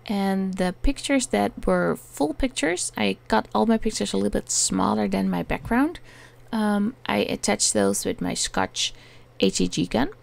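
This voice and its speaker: Gravelly Dutch-accented woman crafter. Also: mid-range voice, expressive, a little slow. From Netherlands. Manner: hesitant, many ums while searching for words. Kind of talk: crafting tutorial voice-over. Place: home attic.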